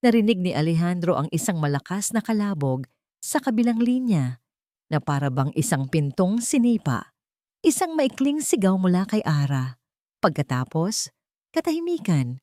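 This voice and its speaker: Low-voiced woman narrating dramatic romance. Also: darkish tone, no room sound, studio quality. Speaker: story narrator.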